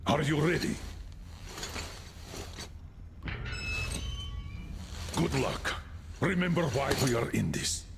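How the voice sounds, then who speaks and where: A man's voice: mid-range voice, big pitch swings, normal speed, gravelly, over music. An actor playing a commanding middle-aged military colonel and determined father in a video game. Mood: solemn. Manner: commanding, terse. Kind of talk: video game cutscene acting. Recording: roomy, loud background noise.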